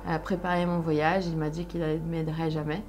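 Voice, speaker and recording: Young woman, Brazilian accent, native Portuguese, low pitch, fairly flat intonation, halting conversational storytelling. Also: faint music behind. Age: young adult. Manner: halting, searching for words. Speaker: interview guest, solo sailor.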